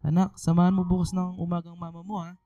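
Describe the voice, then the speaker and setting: A man playing an ailing, reassuring Filipino father in a radio drama, gentle and fond of teasing. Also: high voice, completely flat delivery, fast, dry recording, muffled recording, soft background music.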